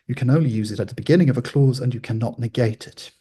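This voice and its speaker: A man whose first language is Swedish, explaining ancient grammar in an informal scholarly way, pausing to restate and qualify his points. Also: mid-range voice, warm tone, husky.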